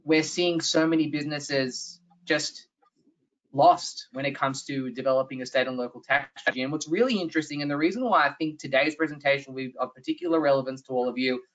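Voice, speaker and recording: A man's voice: on the high side, fairly quick, a bit bright, distant mic. Australian man presenting a webinar. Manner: earnest, explanatory, with frequent fillers and restarts. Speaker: tax consultant presenter. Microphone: video call. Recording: slightly dull video-call audio.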